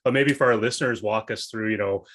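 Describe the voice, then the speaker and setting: A man's voice: fairly low pitch, quick pace, a bit bright. A man hosting an interview in a casual, informal way, often rephrasing as he goes. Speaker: podcast host.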